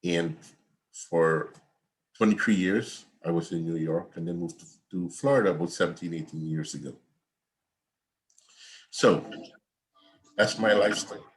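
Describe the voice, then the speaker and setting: A man's voice: low-pitched, lively intonation, unhurried, long pauses, rough recording. An older man from Guyana, an accounting professor giving a conversational, rambling online class lecture over a video call from home. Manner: conversational, repetitive, digressive.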